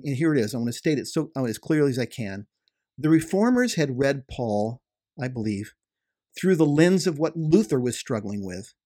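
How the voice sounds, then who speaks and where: A man's voice: fairly high pitch, darkish tone. Earnest man explaining theology. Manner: earnest, emphatic explainer who restates points. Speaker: podcast co-host. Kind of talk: theological conversation.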